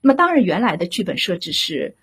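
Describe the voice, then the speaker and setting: Woman, very husky voice, lively pitch swings, explanatory panel talk, rhetorical tag questions. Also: fast. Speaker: radio drama director and guest. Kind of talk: conversational panel discussion.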